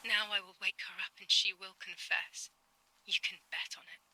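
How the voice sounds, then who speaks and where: An actress playing an unstable, vengeful young daughter, accusing and commanding in dramatic game dialogue. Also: female voice, medium pitch, flat delivery, normal speed, bright, forceful tone, rough recording. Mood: menacing.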